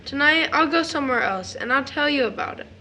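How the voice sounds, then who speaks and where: Woman playing a high, bright little boy. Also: lively intonation, fairly quick, slight background noise, poor audio. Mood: sleepy, earnest. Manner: childlike grammar and wondering questions. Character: sweet, eager, wondering little boy. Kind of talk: stage drama audio acting.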